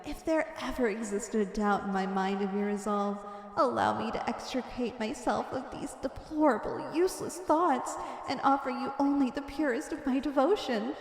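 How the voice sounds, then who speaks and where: Villainess, played by a woman, fairly high voice, wicked and obsessively devoted, ornate theatrical monologue for ASMR roleplay, reverberant hall sound. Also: natural voice. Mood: rapturous. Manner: grandiose, ornate, fervent delivery. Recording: echoey, long reverberant hall effect.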